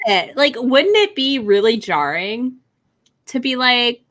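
A woman's voice: a little high, very animated, slow, fairly bright tone. A young adult woman in casual, informal book chat, speaking as a panelist on a video call. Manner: informal, profane. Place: indoor home room on a video call.

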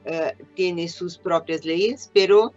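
Older Brazilian woman, Portuguese accent, halting conference talk over a webcam, muffled lo-fi audio with faint music under it. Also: lowish voice, steady tone, a little mellow. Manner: hesitant, many pauses and fillers, mixes Portuguese words. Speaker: invited speaker, educator. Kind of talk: conference presentation. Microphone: webcam on video call. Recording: dull lo-fi video call audio.

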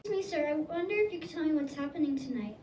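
A woman's voice: sits high, animated, racing, darkish tone, a little hiss or hum. A woman playing an eager on-scene reporter. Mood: inquisitive. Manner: inquisitive, presenting. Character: eager female news reporter. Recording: echoey room from far off.

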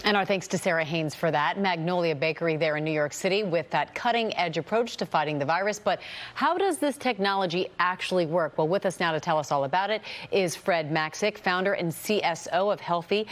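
A woman anchoring a news show from a studio, reading crisp scripted lead-ins and asking interview questions. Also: lowish voice.

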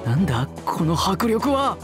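Lively, polite young man. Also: high voice, a little slow. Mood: flustered, dramatic. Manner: polite, reactive, dramatic. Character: kindly young man humoring a child, easily flustered. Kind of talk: Japanese voice-anime comedy acting. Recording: music bed behind the voice.

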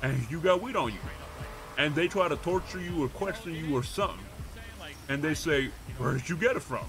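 A man's voice: fairly high pitch, brisk, music in the background. A man roleplaying a pushy, streetwise, profane character in a game, talking in slang. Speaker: roleplay gamer streaming.

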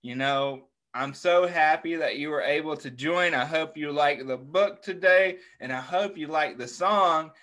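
A man's voice: fairly high pitch, unhurried, hardly any pauses, pushed and bright. A man in his thirties talking to young children as a story time host, in an encouraging, simple and repetitive way on a video call. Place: small furnished room at home, indoors. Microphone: computer mic on a video call.

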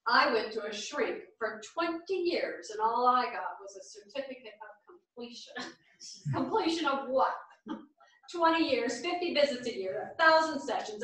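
A woman's voice: high voice, lively intonation, moderate pace, fairly bright tone. A woman in a staged play reading, playing a chatty, lonely character with a wry, exclamatory delivery. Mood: wry exasperation. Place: theatre stage, indoors. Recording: echoey theatre stage from far off.

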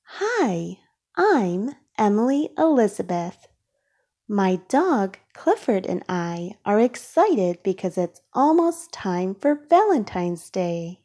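Woman reading a kids picture book out loud, soft mellow tone, takes her time, expressive. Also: mid-range voice, husky. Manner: slow, careful, expressive delivery for young children. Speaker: children's storybook narrator. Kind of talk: read-aloud storybook narration.

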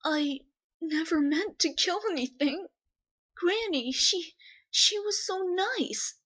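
A woman voicing a friendly, wistful young male wolf for an animation, earnest and halting when upset. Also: very high voice, animated, fairly bright tone, full character voice. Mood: sad, pleading.

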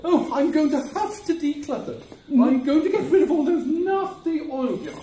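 A woman's voice: sits high, animated, moderate pace, warm tone. A woman playing a fussy, grumbling housekeeper in an amateur stage pantomime. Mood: fussy, annoyed. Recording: echoey village hall with background noise.

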